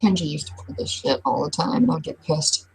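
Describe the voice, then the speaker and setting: A woman running and playing a casual, improvised tabletop role-playing game, talking in a halting, informal way. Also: low voice, creaky, husky, rough recording. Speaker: game master and player.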